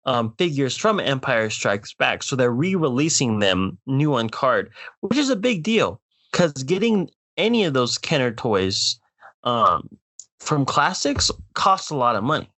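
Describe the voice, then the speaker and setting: Man, podcast host, lively swooping delivery, mock-dramatic, exasperated, comedic ranting, dry studio sound. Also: mid-range voice, dry recording. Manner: mock-dramatic, exasperated, addresses listeners directly. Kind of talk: comedic rant.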